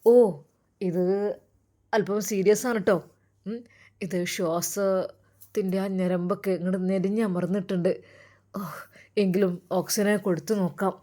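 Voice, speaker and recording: A woman's voice: medium pitch, fairly even intonation, unhurried, long pauses, warm tone, lightly acted. A woman storyteller voicing a grave hospital doctor who reports in a hesitant, matter-of-fact way.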